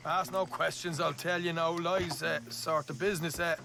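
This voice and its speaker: An actor playing a chatty young Irish outlaw bluffing as a delivery driver in a video game, with glib, ingratiating patter. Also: male voice, fairly high pitch, steady tone, normal speed, gravelly, bright, forceful tone, a little hiss or hum. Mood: chummy, ingratiating. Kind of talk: video game cutscene acting.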